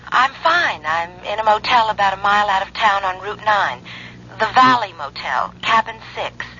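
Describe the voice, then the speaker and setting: An actress playing a gentle, worried, estranged wife speaking hesitantly over the telephone in a 1970s radio drama. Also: female voice, medium pitch, expressive, moderate pace. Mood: wistful, guarded. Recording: noisy, thin telephone line on old radio.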